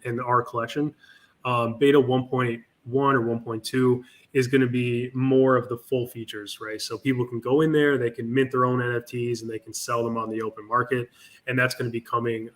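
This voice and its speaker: Male guest, confident promo talk, video call. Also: mid-range voice. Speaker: project team guest. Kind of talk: casual interview over video call.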